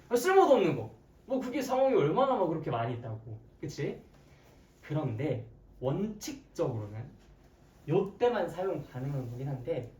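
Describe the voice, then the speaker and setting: Hoarse, animated math teacher in an echoey room. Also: mid-range voice. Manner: playful, questioning, conversational. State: hoarse, frequent throat clearing. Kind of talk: interactive classroom lecture. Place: classroom with students. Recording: echoey, distant mic, background noise.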